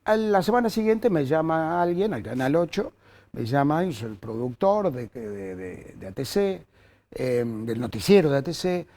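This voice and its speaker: An older Argentine man reminisces as an interview guest, his voice gravelly, mellow and set a little high. His pitch rises and falls with lively energy, and he breaks up his stories with fillers and restarts. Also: normal speed. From Córdoba, Argentina. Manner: animated storyteller with frequent fillers and restarts. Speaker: journalist guest. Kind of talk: anecdotal storytelling in conversation.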